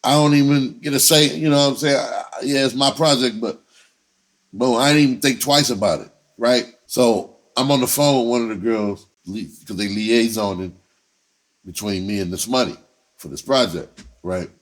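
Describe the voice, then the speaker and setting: A man's voice: mid-range voice, normal speed. A man telling a personal story in casual, profane slang, repeating himself and acting out conversations as he goes. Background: music under the voice.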